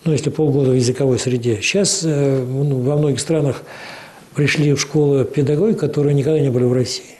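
Mellow-voiced man giving measured interview answers. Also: medium pitch, fairly even intonation. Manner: measured explaining with frequent hesitations. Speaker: interview guest, cultural organization official.

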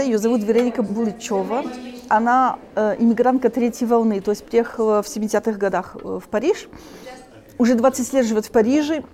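A middle-aged woman from Switzerland, a native French speaker, talks in a soft, mellow voice. She speaks haltingly, with frequent restarts and filler sounds as she searches for words. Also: fairly high pitch. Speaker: choir leader, guest. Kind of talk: interview speech.

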